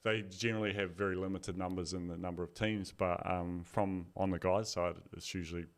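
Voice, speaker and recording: A man with a fairly low, clear, smooth voice. He speaks in a relaxed way and teases dryly while co-hosting a casual sports podcast. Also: brisk. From New Zealand. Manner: relaxed, dry teasing. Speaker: podcast co-host.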